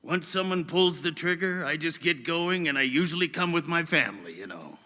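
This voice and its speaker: A boastful, taunting bullet in an audio drama, theatrical and menacing. The delivery is sharp and forceful, swinging widely in pitch, inside a long reverb. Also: male voice, animated, moderate pace. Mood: smug, menacing. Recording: long reverberant hall effect.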